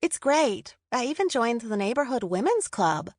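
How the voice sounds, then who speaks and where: Brief: woman voicing a cheerful young newlywed, bright and chatty, dry close sound. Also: a little high, sing-song, moderate pace, dry recording, voice pushed up.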